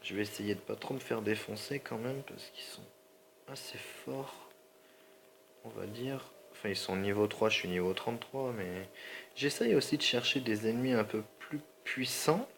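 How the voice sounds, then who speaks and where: Low gravelly man with a sore throat. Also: normal speed, fairly bright tone, a little hiss or hum. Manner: rambling, slangy, keeps apologizing. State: slightly sore throat and stuffy nose. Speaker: gaming channel host. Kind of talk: casual gameplay commentary.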